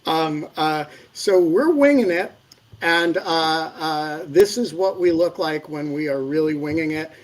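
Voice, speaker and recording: A man's voice: on the high side, measured pace, low-quality recording. A man hosting a live legal discussion from a university studio, in a loose, joking way with many fillers. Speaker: host.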